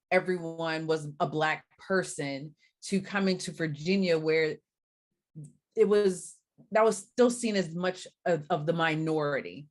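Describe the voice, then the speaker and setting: A woman from Barbados, a diversity coach, answering interview questions in a thoughtful, measured way with frequent pauses. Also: sits low.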